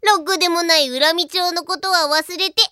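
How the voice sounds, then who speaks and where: A woman's voice: very high voice, steady tone, moderate pace, sharp tone, dry recording, studio quality. A woman voicing a cute, bossy alien cat-girl princess in an anime audio drama, self-important and ending her sentences with a cat-like nya. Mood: smug, scornful. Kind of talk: anime audio drama acting, reading a diary aloud.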